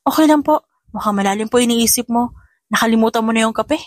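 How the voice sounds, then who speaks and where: A woman's voice: on the high side, fast talker, no room sound, clean studio sound, natural voice. A woman voicing a feisty young female janitor in an audio drama, cheeky and defiant, though polite to elders. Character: feisty young female janitor who fights back at bullies.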